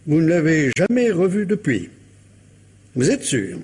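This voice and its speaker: An actor playing a probing, sceptical police commissioner in a radio mystery drama, formal, ironic and insistent. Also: male voice, fairly high pitch, huge pitch swings, measured pace, a little mellow, husky, full character voice.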